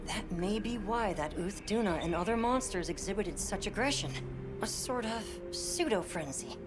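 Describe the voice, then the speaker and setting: A woman playing a thoughtful researcher in a video game cutscene, speaking in a measured, precise, scholarly way. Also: medium pitch, animated, natural voice. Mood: thoughtful explaining. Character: thoughtful, curious researcher explaining a theory. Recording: music clearly behind the voice.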